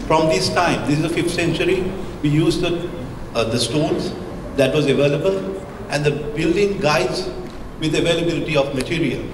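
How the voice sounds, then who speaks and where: A middle-aged man from Sri Lanka with a Sri Lankan accent, giving an architecture lecture into a handheld mic, halting and full of fillers. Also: a little high. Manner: hesitant, searching for words, frequent fillers. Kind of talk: presentation with slides. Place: lecture hall, indoors. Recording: echoey hall with background hum.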